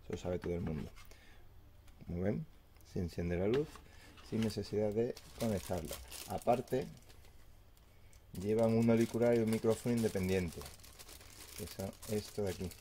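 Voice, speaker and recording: Male product reviewer, lowish voice, plain explanatory delivery, casual unboxing, some background noise and crinkling. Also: moderate pace, pauses often, mellow.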